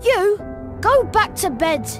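A woman's voice: very high-pitched, big pitch swings, slow pace, a bit bright, faint music behind, full character voice. A woman voicing an anxious, bossy schoolboy wizard in a video game, impatient and exclamatory.

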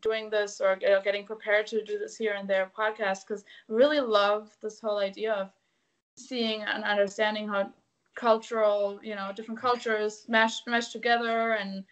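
A woman's voice: medium pitch, flat delivery, normal speed, low-quality recording. A young woman with a German accent, a native German speaker from Germany, talking candidly and reflectively as a guest over a video call from home. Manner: open, reflective, candid storytelling. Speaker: musician guest. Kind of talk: casual interview chat. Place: indoors at home.

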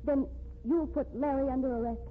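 A woman's voice: high-pitched, fairly even intonation, fairly quick, lightly acted. An actress playing an earnest, insistent woman defending the man she loves in a 1950s radio drama. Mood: anxious certainty. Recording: tinny, noisy 1950s radio recording.

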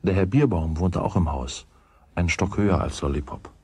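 A man's voice: deep voice, normal speed, mellow, breathy edge. A man narrating a children's radio play as its scripted storyteller. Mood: measured.

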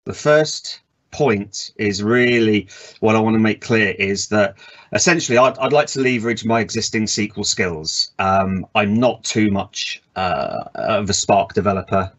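A man in his thirties giving a relaxed technical conference talk from a home office over a video call, with frequent ums and uhs. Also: fairly low pitch, measured pace, muffled recording. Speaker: conference presenter. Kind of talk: technical presentation over slides.

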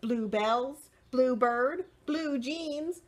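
A middle-aged woman reading a picture book aloud to young children, expressive and deliberate, with short asides to the listeners. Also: sits high. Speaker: children's library storyteller. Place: indoors, small room with bookshelves.

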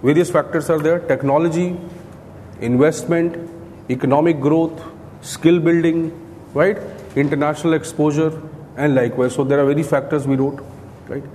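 A man's voice: on the high side, steady tone, normal speed, warm tone. Indian man lecturing in an echoey room. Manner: explanatory, often tags statements with "right?". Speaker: coaching lecturer. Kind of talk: classroom lecture. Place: indoor classroom, at a podium beside a screen. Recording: echoey room with clear background noise.

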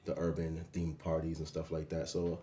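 Very deep, even-toned New man. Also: moderate pace. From Jamaica and the Bronx, New York. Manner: peppers talk with "you know what I'm saying". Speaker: guest, restaurant owner and chef. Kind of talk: casual interview talk. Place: small restaurant dining room, indoors.

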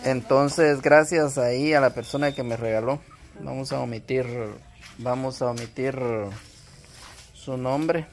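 A woman hosting a casual vlog on an outdoor patio, talking haltingly with lots of fillers. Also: rumbling low, steady tone, a little mellow. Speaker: vlog host. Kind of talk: casual chat with viewers.

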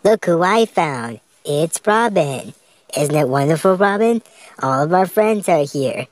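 A woman voicing an excitable cartoon boy cat who hosts a show with theatrical, exclamatory announcing, as fan-made voice lines. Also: low voice, a little slow. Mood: gleeful. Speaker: character voice performer.

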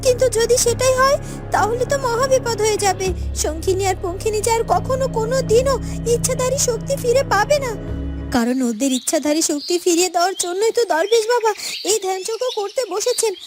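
A woman's voice: extremely high pitch, lively intonation, a little fast. A woman voicing an anxious female magical creature in a fairytale cartoon, speaking in dramatic exclamations. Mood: worried. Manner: exclamatory, repeats words for emphasis. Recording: loud music bed. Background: music.

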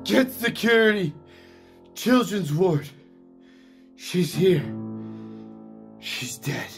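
A man playing a terrified young nurse in a horror audio drama, panicked, gasping and halting. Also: sits high, big pitch swings, vocal fry, music in the background, full character voice. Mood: drained, urgent. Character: terrified young male nurse under attack.

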